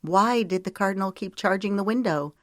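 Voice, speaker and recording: A woman narrator voicing a stubborn, emotional woman character in an audiobook, earnest and quick to retort. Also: medium pitch, dry recording, studio quality, voice pushed up, natural voice. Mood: curious, dry. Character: stubborn, emotional woman pleading for her freedom.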